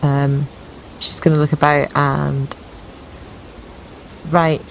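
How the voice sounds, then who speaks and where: A woman's voice: deep voice, level tone, takes it slowly, creaky, a little hiss or hum, low-quality recording. A woman speaking as a player in a tabletop game, slipping casually into in-character roleplay.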